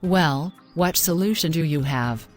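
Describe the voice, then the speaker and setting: A woman's voice: low-pitched, measured pace, faint music behind. A woman playing a devout, distressed young girlfriend in a scripted moral story, speaking earnestly in formal phrases. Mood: anxious, distressed.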